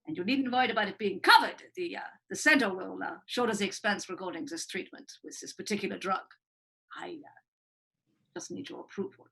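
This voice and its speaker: An actress playing a cold, condescending hospital psychiatrist who talks in a clinical way with hesitant fillers. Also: female voice, mid-range voice, fairly quick, creaky, fairly bright tone, breathy edge. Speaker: actor in a livestream script reading.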